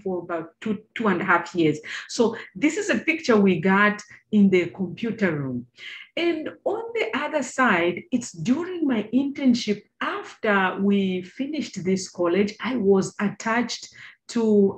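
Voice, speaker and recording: A middle-aged woman from Zambia with a Zambian accent, giving a chatty talk over slides to students on a video call, with many fillers and questions to her listeners. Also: medium pitch. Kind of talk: informal presentation over slides.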